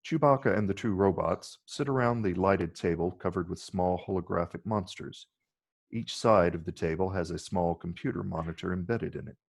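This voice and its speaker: A man's voice: deep voice, moderate pace, vocal fry, slightly rough. A man narrating stage directions in a scripted reading.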